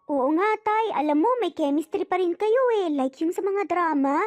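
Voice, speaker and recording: Woman voicing cheeky, very high-pitched young boy. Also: normal speed, no echo, soft background music. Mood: teasing. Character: cheeky young triplet boys teasing their parents. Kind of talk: dramatized storytelling.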